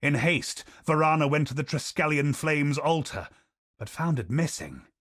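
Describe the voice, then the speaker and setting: A man voicing a game character who recounts a dark war tale in slow, grave storytelling. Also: fairly high pitch, expressive, no echo, studio quality. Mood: somber. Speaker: game character narrating lore. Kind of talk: video game voice lines, lore recounting.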